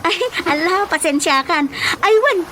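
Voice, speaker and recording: Flirty village storekeeper, Ilocano Filipino accent, high voice, slow coy giggly lines, radio comedy, faint noise. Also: female voice, animated, pushed and bright, heavily acted. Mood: coy, flirtatious. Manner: coy, drawn-out, giggly delivery. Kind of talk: comedic radio drama acting.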